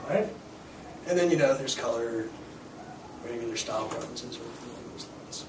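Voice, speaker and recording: A hoarse young man teaching a scuba class, explaining gear and skills in a casual, filler-heavy way. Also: mid-range voice, a little fast. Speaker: scuba instructor. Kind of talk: hands-on demonstration to a class. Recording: echoey room, distant mic, noisy.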